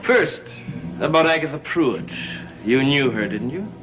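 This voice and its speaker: A suave gentleman with veiled threats in a gothic TV soap. He is mock-polite and pressing, his mid-pitched voice very animated. Faint music sits behind him. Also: measured pace, poor audio. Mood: smooth, pressing. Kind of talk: gothic soap opera acting. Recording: old TV soundtrack with music behind.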